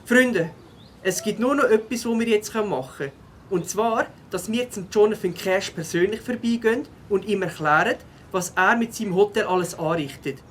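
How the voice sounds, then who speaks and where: A woman with a Swiss German accent playing a plucky, determined child beaver in a children's audio drama, with a husky voice. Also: medium pitch, normal speed, a little hiss or hum, lightly acted.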